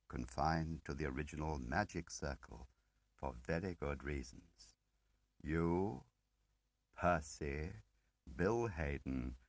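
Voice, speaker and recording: A man reading the part of an ageing spymaster in an audiobook, deliberate and probing, pausing between phrases and pressing with questions. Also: a bass voice, no echo, heavily acted. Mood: measured, grave. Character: ageing male spymaster, measured, probing, quietly relentless. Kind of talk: dramatic audiobook character reading.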